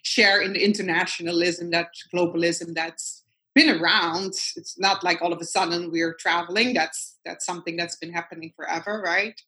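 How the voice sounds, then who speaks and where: A woman's voice: lowish voice, fairly even intonation, normal speed, pushed and bright. Reflective Dutch-accented woman on a call. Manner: reflective, thinking aloud, circling back to points. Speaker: guest artist. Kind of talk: casual interview chat. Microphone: video call.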